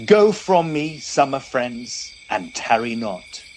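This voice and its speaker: A man giving a solemn, deliberate and dramatic recitation of poetry. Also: mid-range voice, sing-song, slight background noise. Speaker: poetry reader.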